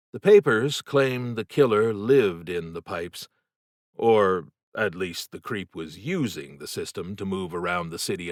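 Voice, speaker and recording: A man narrating a horror novel as an audiobook, telling the story in a measured, dramatic, suspenseful way. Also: lowish voice, no echo, studio quality.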